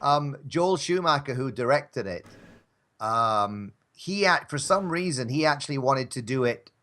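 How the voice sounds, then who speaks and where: A man's voice: mid-range voice, lively intonation, a little slow, fairly bright tone. A man co-hosting a casual talk show, bantering about films in a rambling, wry, conversational way. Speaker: co-host of an audio drama talk show.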